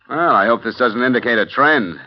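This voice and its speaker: A man's voice: medium pitch, fairly quick, lightly acted. An actor playing a hardboiled male insurance investigator in a 1950 radio drama, dry and sardonic. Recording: dull 1950 radio transcription with music.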